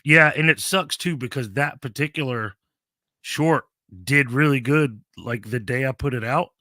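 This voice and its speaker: Laid-back man hosting a livestream, bright tone. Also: mid-range voice, measured pace, no room sound, studio quality. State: losing his voice. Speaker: livestream host. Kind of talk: casual chat.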